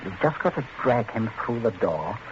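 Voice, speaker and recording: Through a dull, faintly noisy old radio recording comes a middle-aged, controlling father in a 1940s suspense drama. He is icily polite and menacing, choosing clipped words deliberately. His pitch moves widely for all his coldness. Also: very animated.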